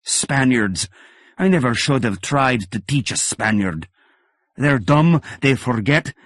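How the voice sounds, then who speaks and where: A man voicing a scornful old fencing teacher who scolds loudly in a raised voice. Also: lively intonation, slow pace, no room sound, clean studio sound. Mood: scolding. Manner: loud, scolding, raised pitch.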